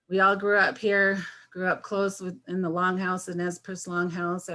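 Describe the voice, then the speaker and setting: Woman candidate, 50, from Washington State, on a video call, a bit low in pitch, halting with lots of fillers. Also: fairly bright tone. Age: 50s. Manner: halting, many fillers and restarts. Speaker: election candidate. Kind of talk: candidate forum introduction.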